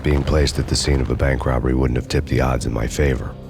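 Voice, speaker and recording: Casting: a weary noir detective for a game, rock-bottom pitch, dry deadpan delivery over music. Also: male voice, fast talker, gravelly. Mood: brooding, deadpan. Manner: deadpan, brooding, sardonic. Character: world-weary sardonic undercover cop, hard-boiled narrator. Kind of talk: scripted noir narration.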